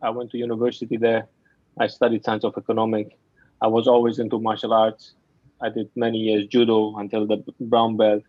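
A man who grew up in Afghanistan and Germany, a mental training coach telling his story haltingly in an interview, often restarting his sentences. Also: lowish voice, completely flat delivery, no room sound, lo-fi sound. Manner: halting, searching for words, with many restarts. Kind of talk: casual interview talk.

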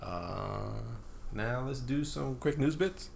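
A man hosting a casual wrestling podcast, relaxed and steering the talk with "um" and "uh". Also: mid-range voice, takes it slowly, creaky. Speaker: podcast host. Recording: faint background noise.

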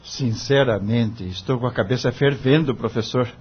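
Unsure male student, Brazilian accent, radio drama, hesitant, heavily husky, dull lo-fi recording. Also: medium pitch, moderate pace. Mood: troubled, pondering. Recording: dull lo-fi audio.

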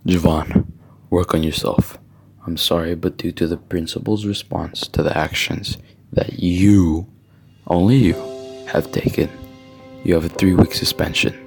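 Man playing a basketball coach, deep voice, earnest, solemn mentor, audio drama, music behind. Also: steady tone, measured pace, creaky, darkish tone. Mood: grave, disappointed. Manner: solemn motivational speechifying. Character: earnest male basketball coach, stern but caring mentor. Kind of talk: student audio drama acting.

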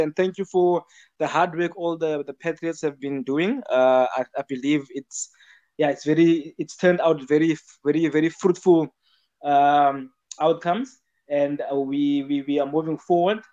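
A man's voice: fairly high pitch, fairly even intonation, normal speed, warm tone. A man speaking in a live audio chat room, earnestly explaining a point and repeating words as he builds his argument. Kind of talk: casual spoken argument. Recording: dull lo-fi online voice chat.

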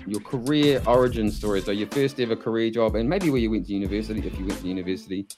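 New podcast host over music. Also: male voice, fairly low pitch, very fast. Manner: upbeat presenter, reads intro. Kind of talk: podcast interview. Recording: music playing behind.

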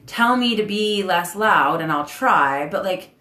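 Loud, animated woman in an echoey room. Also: lowish voice, measured pace. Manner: animated, gets loud when excited. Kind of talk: casual chat.

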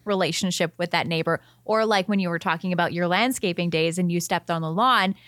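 A woman's voice: on the low side, a little fast, a bit bright, dry recording. A woman co-hosting a gardening show in casual, conversational chat.

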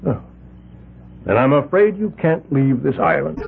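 Rich bully mill owner, gravelly and husky, voice swoops all over, old thin radio sound. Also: male voice, a little high, lively intonation, a little slow, full character voice. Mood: pleading. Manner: blustering, swings from soft to loud. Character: domineering rich male mill owner, vigilante leader. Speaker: vigilante group leader. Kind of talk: 1970s radio drama acting. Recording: narrow-band old radio broadcast.

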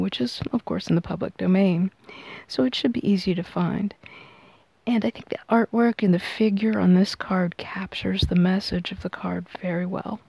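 A woman hosting a tarot reading, explaining it in measured, unscripted phrases. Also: mid-range voice, creaky, warm tone, dry recording, rough recording. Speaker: tarot reader and podcast host.

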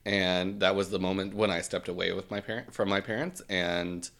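A man's voice: deep voice, fairly even intonation, moderate pace. A young man whose first language is Swahili, speaking thoughtfully and hesitantly in a casual podcast chat. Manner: thoughtful, hesitant, with restarts and soft laughs.

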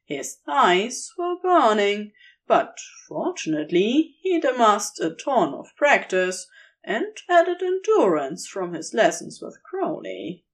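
A woman's voice: medium pitch, big pitch swings, slow. A woman narrating scripted romantic fan fiction in an intimate storytelling style.

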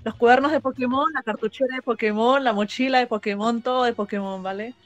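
A woman's voice: fairly high pitch, fairly even intonation, fast talker, faint music behind. A young woman, chatting casually and emphatically among co-hosts. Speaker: podcast host. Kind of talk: casual group chat.

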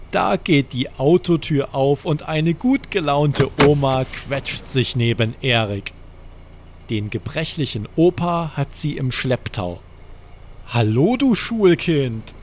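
A man tells a bedtime story for children. His pace is very slow and his phrasing deliberate, with a medium pitch and a measured storyteller's delivery. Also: expressive, a little hiss or hum.

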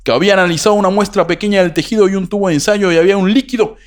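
Man putting on high-pitched mock Cuban doctor. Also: very fast, natural voice. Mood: mock-earnest. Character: excitable Cuban doctor testifying about alien tissue samples. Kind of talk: comic impression on a talk show.

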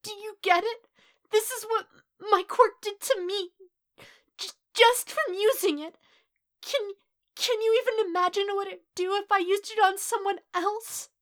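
A woman's voice: very high voice, steady tone, unhurried, fairly bright tone, pitched above the performer's own voice. A woman voicing a timid, anguished teenage boy in a dramatic story reading, stammering and pleading through tears. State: crying. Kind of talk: dramatic fan fiction reading.

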